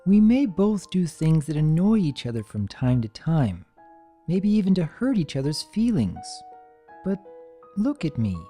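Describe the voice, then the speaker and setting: A storyteller voicing a caring female pony, gentle, teasing and motherly, with a coaxing sing-song manner. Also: mid-range voice, big pitch swings, a little slow, soft background music, voice pushed up. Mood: tender.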